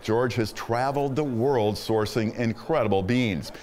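A man's voice: mid-range voice, a little slow, darkish tone. A middle-aged man hosting a show, reading an enthusiastic, upbeat scripted voice-over. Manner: enthusiastic, upbeat presenter delivery. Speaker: host.

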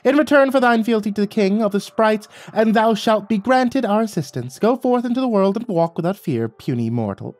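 A man's voice: high-pitched, animated, fairly quick, few pauses, a little mellow, no room sound, voice pushed up. A man voicing a tiny, imperious sprite king who speaks in pompous, scornful, archaic proclamations in a fantasy story. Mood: ceremonial.

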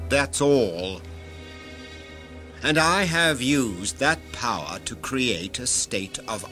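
A man's voice: medium pitch, bright, forceful tone, exaggerated voice. A man acts out a ruthless, ambitious director in a sci-fi audio drama. His delivery is grandiose and commanding, rising and falling widely. Music plays behind him. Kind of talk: science-fiction audio drama acting.

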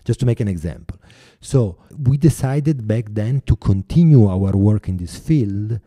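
A man in his forties with an Italian accent, speaking as a podcast guest in a thoughtful way, pausing often and restarting phrases. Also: lowish voice, lively intonation, warm tone, no echo, clean studio sound. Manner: thoughtful, many hesitations and restarts. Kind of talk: podcast interview.

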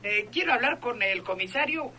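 Officious, high, sharp-voiced male mayor. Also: lively intonation, normal speed, a little hiss or hum, heavily acted. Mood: urgent, commanding. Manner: hesitant openers, officious. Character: official male town mayor, urgent and commanding. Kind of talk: old radio drama acting.

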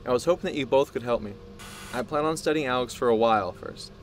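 An actor playing a proud, obsessive male scientist in an audio drama, speaking in a deliberate, grandiose way. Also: mid-range voice, a little hiss or hum, lightly acted. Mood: measured, earnest. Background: music.

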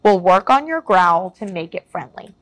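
A woman voicing a kind, quick little female squirrel in a storybook, squeaky and gentle. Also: expressive, dry recording. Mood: encouraging.

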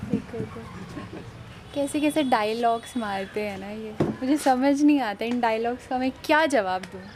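A woman's voice: on the high side, moderate pace. Chatty young woman vlogging outdoors. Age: young adult. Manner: chatty, explains to viewers. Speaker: vlog host. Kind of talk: casual chat to camera. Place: open rooftop terrace, outdoors. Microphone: handheld camera at arm's length. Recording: noisy outdoor ambience.

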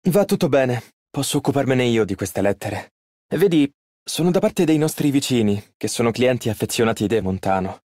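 A man's voice: mid-range voice, lively intonation, normal speed, darkish tone, dry recording, clean studio sound, lightly acted. An actor playing a polite, earnest and easily flustered young male tailor in an Italian game dub. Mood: gentle, wistful. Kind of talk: video game character voice lines, Italian dub.

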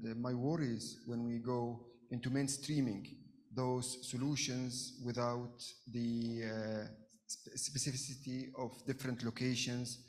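A man from Jordan making an earnest, halting comment from the floor at a conference, with many pauses and fillers. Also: mid-range voice, darkish tone. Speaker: audience member asking a question. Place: conference hall.

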